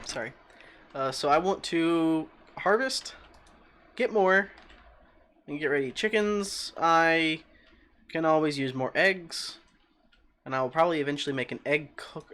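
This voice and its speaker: A man livestreams a game in a calm, easygoing voice that sits at an ordinary middle pitch. He rambles as he thinks out loud and replies to his chat. Faint keyboard clicks and a light hiss sit under him. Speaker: game streamer. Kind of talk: casual livestream chat while playing.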